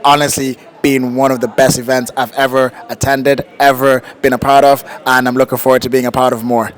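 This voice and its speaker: A man speaking as an event organizer in a testimonial, enthusiastic but steady. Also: mid-range voice, a little fast. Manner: enthusiastic but steady, keeps saying honestly.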